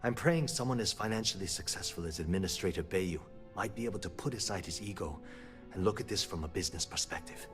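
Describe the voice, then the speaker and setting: An actor playing a frustrated, principled personnel manager in a sci-fi video game, speaking in an earnest, articulate, exasperated way. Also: male voice, deep voice, quick pace, faint music behind, close to an everyday voice. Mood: frustrated, pleading. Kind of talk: scripted game dialogue.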